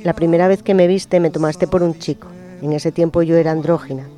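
A man on a radio program, reading a personal letter aloud in a slow, intimate, reflective way. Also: on the high side, fairly even intonation. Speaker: radio presenter. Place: radio studio. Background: soft guitar music.

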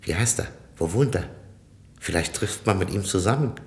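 Casting: rough, scheming convict, radio drama, lowish voice, brash know-it-all, echoing vintage studio sound. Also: male voice, expressive, normal speed, darkish tone. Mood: scornful, scheming. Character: cocky, streetwise male jailbird, rough and scheming. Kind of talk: 1960s German radio play acting. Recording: roomy, echoing 1960s radio recording.

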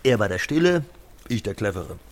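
Animated, slick middle-aged car dealer. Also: male voice, on the low side, moderate pace. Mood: indignant. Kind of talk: German audio drama acting.

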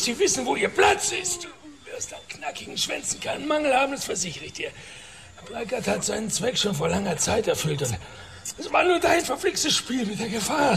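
A man's voice: very high voice, creaky, full character voice. An actor playing a crude, boastful, middle-aged wealthy father in a radio drama, with a mocking, theatrical manner.

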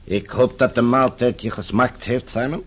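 An actor playing a smug, menacing villain in a radio play, with a husky voice and a suave, coolly threatening manner. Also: male voice, mid-range voice, fairly even intonation, moderate pace, fairly bright tone. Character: menacing, smug male foreign embassy villain.

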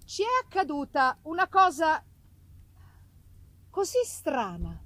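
A woman giving a theatrical, deliberately phrased dramatic reading of a gothic novel, with pauses for effect. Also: high-pitched, rough recording. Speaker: reader of a literary work.

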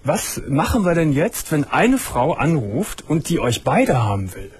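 A man's voice: on the high side, very animated, unhurried, slightly rough. A man hosting a late-night radio call-in show, asking the caller teasing, probing questions. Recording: radio broadcast.

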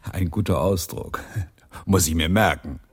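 A man's voice: sits low, takes it slowly, a little hiss or hum. A man acting in a radio play as a sardonic English man of letters. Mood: sardonic, amused.

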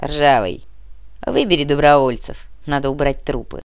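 A woman voicing a ruthless male terrorist leader in an audiobook, giving loud, deliberate orders with cold menace. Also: low-pitched, animated, clean tone. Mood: coldly threatening. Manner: loud barked orders, drawn-out deliberate phrasing. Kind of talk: audiobook character acting.

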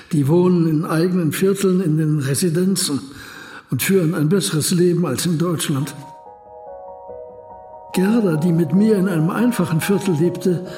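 A man playing a reflective elderly academic in a radio documentary play, speaking in a measured, deliberate way. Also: fairly high pitch, a little mellow, lightly acted. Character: reflective elderly academic, homesick returned emigrant husband. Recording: roomy echo, music behind.

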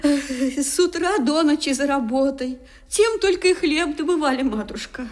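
Old widow stepmother in a radio drama, high pitched, fawning n plaintive, sucking up to nobility. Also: lively intonation, moderate pace. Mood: lamenting. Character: obsequious, scheming old widowed stepmother, poor and wheedling. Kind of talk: Russian radio drama acting.

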